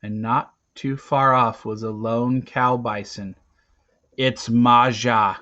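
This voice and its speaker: Slow, theatrical man reading a story. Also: mid-range voice, steady tone, lo-fi sound. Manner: theatrical, with dramatic pauses and big swings from hushed to loud. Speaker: read-aloud narrator. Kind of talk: dramatic storybook narration.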